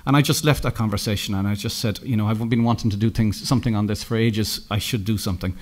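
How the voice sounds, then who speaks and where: A middle-aged Irish man giving a conference keynote, telling anecdotes with lots of halting restarts and self-corrections. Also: lowish voice, fairly even intonation. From Ireland. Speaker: keynote speaker. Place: conference room, indoors.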